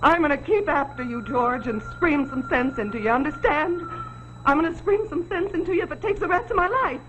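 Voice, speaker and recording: A woman's voice: sits high, expressive, brisk, fairly bright tone, highs cut off. A woman acting a bitter, frightened, nagging wife in 1940s television suspense style, scornful and melodramatic. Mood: furious, nagging. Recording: noisy 1940s television soundtrack.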